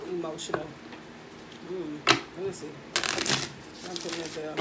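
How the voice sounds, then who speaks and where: A woman with a husky voice doing a casual, chatty tarot reading, speaking in with laughs and slangy asides. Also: deep voice, little pitch movement, normal speed, heavy background noise. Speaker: tarot reader.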